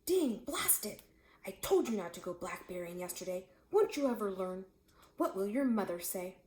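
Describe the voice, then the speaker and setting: A vain, short-fused male lion from a children's storybook, as a woman reads him aloud. He blusters emphatically and his pitch swings widely. The recording rings with room echo. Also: animated, normal speed, a little mellow, lightly acted. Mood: angry, menacing. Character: angry, vain male lion, fretting over mane. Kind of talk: storybook character voice. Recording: echoey room.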